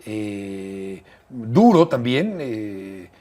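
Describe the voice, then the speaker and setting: An older man with a Mexican accent, telling stories as an interview guest in a measured way, often pausing on "eh" and repeating phrases. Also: fairly low pitch, very animated, darkish tone. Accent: Mexican Spanish. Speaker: interview guest, broadcaster and bullfight writer. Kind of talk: relaxed conversational storytelling.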